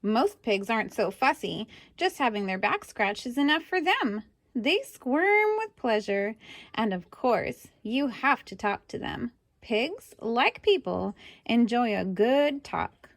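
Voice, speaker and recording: A woman reads a picture book to children in a highish, very lively voice. She takes her time and speaks expressively, adding little asides to her listeners, with a dry, close sound. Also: takes it slowly, dry recording. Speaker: storybook reader.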